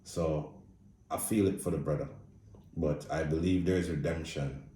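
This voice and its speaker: A man's voice: extremely low pitch, steady tone, unhurried, mellow, reverberant, distant mic, slight background noise. A man with a Jamaican accent giving a blunt, profane, casual movie review. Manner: blunt, profane, speaks straight with no filter. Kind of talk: casual opinionated review monologue.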